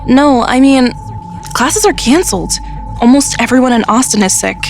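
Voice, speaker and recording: Audio drama schoolgirl: high-pitched, expressive, wry, light music underneath. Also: moderate pace, gravelly. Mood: concerned. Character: teenage schoolgirl, exasperated, wry, quick to react.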